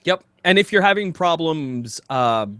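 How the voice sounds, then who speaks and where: A man's voice: a little high, animated, unhurried, bright, forceful tone, smooth, no room sound. A man co-hosting a podcast in casual chat, rambling and hesitant, with dry self-deprecating humour. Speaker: podcast co-host.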